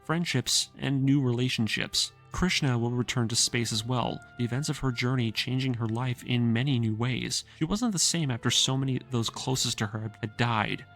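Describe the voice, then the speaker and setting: Casting: male video essayist, medium pitch, earnest and opinionated scripted narration with casual asides, music bed underneath. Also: moderate pace, few pauses, a bit bright. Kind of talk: scripted retelling and analysis narration. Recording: music behind the voice. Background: background music.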